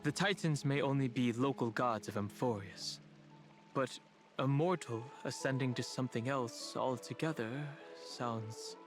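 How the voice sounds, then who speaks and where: A man plays a composed young traveling companion in a video game. He is thoughtful and analytical, speaking in a measured mid-range male voice. Music is clearly audible behind him. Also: medium pitch, lightly acted. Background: background music.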